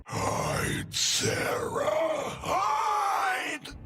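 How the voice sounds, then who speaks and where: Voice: extremely high pitch, sing-song, raised voice, over loud music, exaggerated voice. An actor in a video game voicing an uncanny scarecrow demon that mimics the cries of terrified victims in a distorted, harsh voice. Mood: desperate crying. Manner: drawn-out, eerie, uncanny mimicry.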